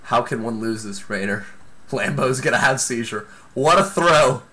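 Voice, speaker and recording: A man giving excited live play-by-play commentary on a video game match, exclaiming often, while losing his voice. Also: medium pitch. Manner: excitable, exclaims often, talks fast in bursts. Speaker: esports commentator.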